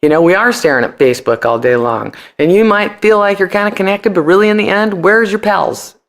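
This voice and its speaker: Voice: medium pitch, brisk. A man being interviewed on a podcast, chatting casually and animatedly in loose slang with free swearing. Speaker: podcast guest.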